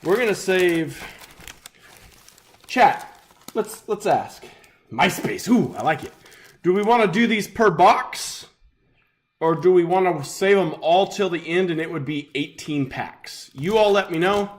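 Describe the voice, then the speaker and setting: A young adult man hosting a live sports card break, slightly raspy and chatty as he opens packs. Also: sits high, expressive, measured pace, long pauses, a little hiss or hum. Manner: upbeat, chatty, talks while opening packs.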